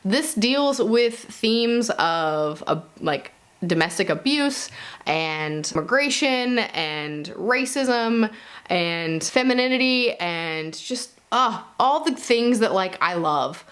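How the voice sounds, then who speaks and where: A woman's voice: mid-range voice, expressive, slow pace, a bit bright. A woman talking casually and informally to viewers as a reviewer, peppering her speech with "like". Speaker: book reviewer and video host. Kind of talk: casual monthly reading wrap-up to viewers.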